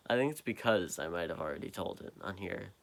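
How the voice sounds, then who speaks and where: A tired young man with a deep voice, rambling slowly in a late-night vlog, halting and full of fillers, recorded on a propped-up phone in a quiet basement. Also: expressive, creaky. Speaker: vlogger. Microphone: phone propped up nearby.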